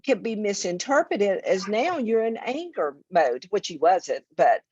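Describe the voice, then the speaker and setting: Earnest lady cohost talking slow, kinda muffled dry sound. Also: mid-range voice, very animated, darkish tone, slightly rough, dry recording. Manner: earnest, repeats words while thinking. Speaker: co-host. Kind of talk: talk show discussion.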